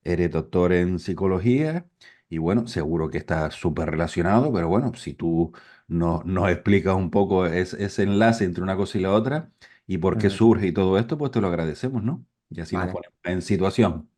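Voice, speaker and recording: Enthusiastic host guy, low voice, keeps saying "how great". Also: normal speed, mellow. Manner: enthusiastic, lots of affirmations and tag questions. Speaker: program host. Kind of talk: interview hosting.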